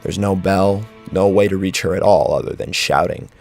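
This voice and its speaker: Male horror narrator: low, gravelly, dramatic and animated, faint music bed. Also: moderate pace.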